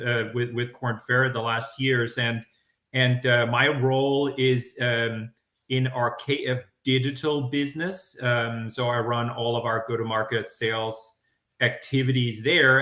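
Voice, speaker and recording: A man's voice: mid-range voice, sharp tone, echoey room. A middle-aged Danish man with a Danish accent, a guest speaking in a livestreamed interview, thoughtful and full of fillers and restarts. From Denmark. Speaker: guest executive.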